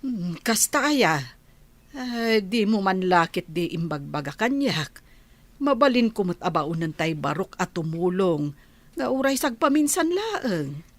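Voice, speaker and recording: A woman's voice: on the low side, very animated, unhurried, dry recording. A woman with an Ilocano accent playing a sympathetic, advice-giving older village mother in a radio comedy drama, in a homely conversational manner. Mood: advising.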